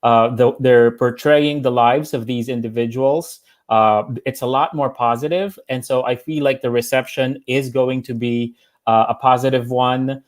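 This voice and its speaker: A man's voice: mid-range voice, steady tone, normal speed. A man speaking as a panelist, thoughtful, with frequent "uh"s. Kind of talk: panel discussion.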